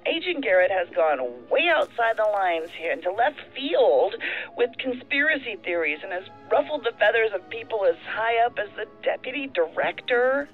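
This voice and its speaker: A woman's voice: on the high side, very animated, moderate pace, soft background music. A woman playing a tense, stubborn federal agent in an audio drama, exasperated, through a phone filter. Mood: exasperated, defensive. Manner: exasperated, defensive. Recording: tinny, narrow-band phone line.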